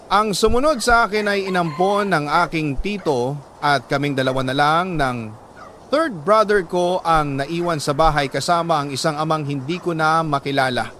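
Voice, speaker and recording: A Filipino man with a slightly high voice narrates a dramatic personal story for radio. His delivery is solemn and measured, with emotive phrasing and weighty pauses. Some noise sits behind the voice. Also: a bit bright. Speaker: radio story host reading a listener's letter.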